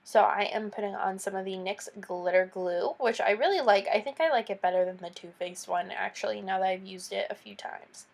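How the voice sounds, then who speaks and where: Young woman w/ a cold, nasal and stuffy, chatty makeup tutorial voice-over, mid-pitched. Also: a little fast, gravelly, hardly any pauses. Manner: chatty, explains each step with casual asides. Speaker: beauty vlogger.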